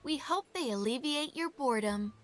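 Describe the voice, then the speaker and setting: A woman's voice: sits high, expressive, moderate pace, voice pushed up. A woman voicing a formal, deferential palace attendant addressing royalty with courtly politeness in a story game.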